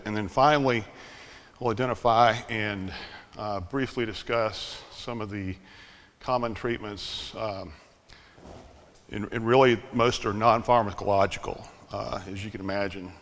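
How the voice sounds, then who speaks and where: Older guy giving a talk, kinda monotone, low-ish voice, big reverby hall. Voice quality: slightly monotone. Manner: relaxed, self-deprecating, loose with fillers. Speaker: conference speaker. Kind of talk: conference presentation. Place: conference hall.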